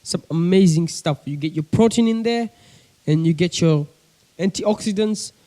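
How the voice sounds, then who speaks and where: Casting note: young man, coastal Kenyan accent, laid-back vlogger chat, higher pitched, soft mellow tone, homemade bedroom recording. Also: moderate pace. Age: young adult. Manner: chatty, keeps addressing viewers as guys. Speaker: pigeon-keeping vlogger. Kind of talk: casual chat to camera. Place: bedroom with a desk.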